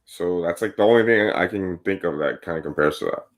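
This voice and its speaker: A man's voice: low-pitched, brisk. A man co-hosting a casual podcast, talking in long rambling turns full of "like" and "right?". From United States. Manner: rambling, filler-heavy, says "like" and "right?" often. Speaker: podcast co-host.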